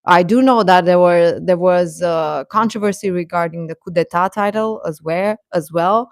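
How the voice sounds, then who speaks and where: A woman hosting a casual, opinionated live chat, speaking haltingly and defensively with repeated words. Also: lowish voice, dry recording, clean studio sound. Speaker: livestream host and commentator.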